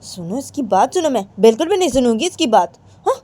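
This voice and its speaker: A woman's voice: on the high side, big pitch swings, fast, mellow, voice pushed up. A woman voicing a stubborn, sulky young wife who keeps repeating her refusals. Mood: defiant.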